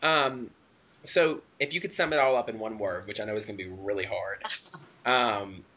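A man's voice: lowish voice, normal speed. A man interviewing a guest for a fan website, asking hesitant, rambling questions full of fillers. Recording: dull muffled recording.

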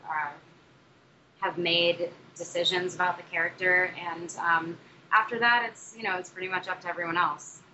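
A woman's voice: fairly low pitch, bright, forceful tone. A young adult woman, a musical theatre actress, answering interview questions in a chatty way, with many fillers and restarts. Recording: dull muffled recording with faint noise.

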